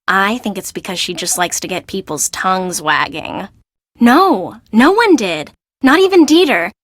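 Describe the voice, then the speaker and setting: A woman's voice: mid-range voice, lively intonation, unhurried, dry recording. A woman playing a chatty young fashion assistant in a video game, confiding and gossipy. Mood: emphatic.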